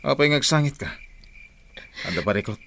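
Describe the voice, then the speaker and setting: A man's voice: medium pitch, lively intonation, moderate pace, a bit bright, slight background noise. A man playing a stern, unyielding, domineering husband in an audio drama. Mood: questioning. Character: stern, unyielding husband rejecting his wife's child.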